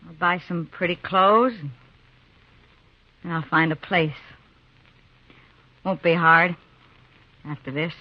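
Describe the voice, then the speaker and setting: A woman's voice: fairly low pitch, steady tone, slow, pauses often. An actress playing a bitter, self-reliant young American frontier woman in a 1950s radio western, curt and guarded. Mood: defiant, weary. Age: young adult. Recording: dull, dry 1950s radio recording.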